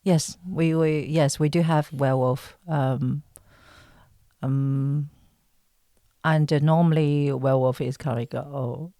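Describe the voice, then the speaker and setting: A woman from China with a Chinese accent, an actress speaking casually as an interview guest, pausing to search for words in simple phrases. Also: low voice, fairly even intonation, mellow, no echo, studio quality.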